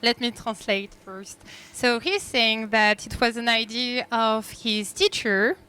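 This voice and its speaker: Bright, clear woman interpreting, measured pace. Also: a little high, fairly even intonation. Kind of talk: live spoken translation.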